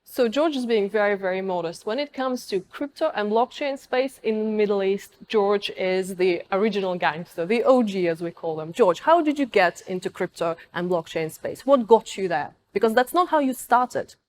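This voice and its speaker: A woman's voice: medium pitch, expressive, moderate pace, few pauses. A woman hosting an interview, talking in an upbeat, complimentary way. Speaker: interviewer, host.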